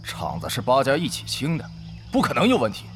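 An actor playing a hot-headed, defiant guild leader in a Chinese audio drama, confrontational and declamatory. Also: male voice, medium pitch, huge pitch swings, fairly quick, soft background music. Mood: indignant, defiant. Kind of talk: Chinese audio drama acting of an online game story.

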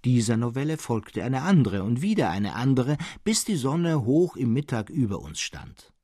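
Ein Mann liest als Erzähler eine literarische Geschichte vor, gemessen, ausdrucksvoll und in gehobenem Ton. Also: male voice, mid-range voice, big pitch swings, moderate pace, dark tone, breathy edge, no room sound, clean studio sound. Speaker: audiobook narrator. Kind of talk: literary story reading.

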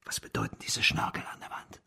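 An actor playing a blunt, hardy army colonel in a radio drama, speaking in a coarse, hearty way. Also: male voice, fairly quick, pushed and bright, in a whisper. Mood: blunt, incredulous.

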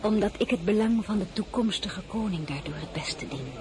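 A woman's voice: medium pitch, moderate pace, noisy background, close to an everyday voice. A woman playing a proud, candid young royal woman in a radio drama, speaking formally and with restraint. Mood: guarded, resolute. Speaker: lead actress and first-person narrator. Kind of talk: radio drama acting and narration.

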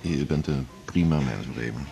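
Picture a weary, workaholic middle-aged hospital chief, wry and self-mocking as he confides over dinner. His voice sits very low, with a gravelly rasp. The delivery is measured, in 1980s radio-play style. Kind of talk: 1980s radio drama acting.

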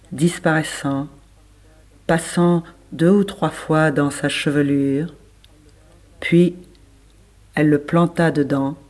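A man reading literary prose as scripted narration, with measured, deliberate phrasing. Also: on the high side, a little mellow. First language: French. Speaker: reader of literary text.

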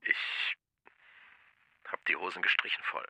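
A man's voice: unhurried, bright, forceful tone, low-quality recording. An actor playing a rattled, blunt middle-aged tough guy on the phone in a radio drama, swearing colloquially. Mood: frightened, bitter.

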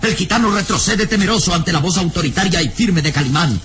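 An actor as the narrator of an adventure radio serial, delivering scripted drama with emphatic suspense. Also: male voice, high-pitched, expressive, a little fast, crowd noise. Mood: dramatic. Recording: old radio serial, loud music bed.

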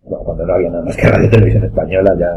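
A low-pitched man with a Spanish accent from Spain, chatting in a relaxed podcast conversation. He hesitates, restarts and lets his phrases trail off. The sound is dull and lo-fi, with a faint hum underneath. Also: very fast. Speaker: podcast host. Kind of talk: casual chat.

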